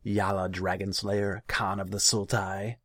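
A man voicing a cruel, hot-tempered young khan in a fantasy story, loud, indignant and imperious. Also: low-pitched, little pitch movement, unhurried, dry recording, clean studio sound, voice pushed up. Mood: accusing.

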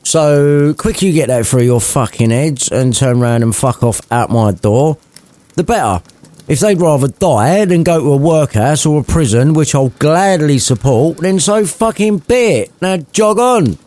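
A man's voice: a little high, animated, unhurried, darkish tone, pitched above the performer's own voice. A man voicing a foul-mouthed, grumpy old male miser who swears and snaps dismissively. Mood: snarling.

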